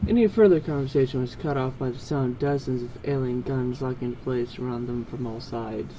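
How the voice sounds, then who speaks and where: A man reads a story aloud as narrator. His voice is very dark and soft-edged, at a middle pitch. There is faint noise behind him. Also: brisk, low-quality recording. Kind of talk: reading fan fiction aloud, narrating.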